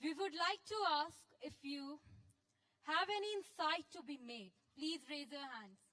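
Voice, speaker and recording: A woman's voice: high-pitched, unhurried, pushed and bright. A woman moderating an event, making a formal scripted announcement to an audience.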